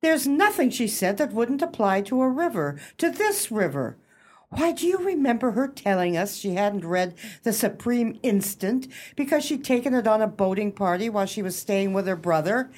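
Woman playing fussy, animated society club lady. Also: on the high side, moderate pace. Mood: insistent. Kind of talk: radio play acting.

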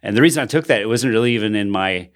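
Earnest low-voiced man in podcast conversation. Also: rapid-fire, clean tone. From Colombia, raised in Bogota by missionary parents. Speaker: pastor and podcast co-host.

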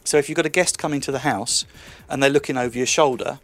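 A man's voice: medium pitch, fast talker, fairly bright tone, faint music behind. A man presenting a tech show year in review, with breezy, teasing banter with his co-host.

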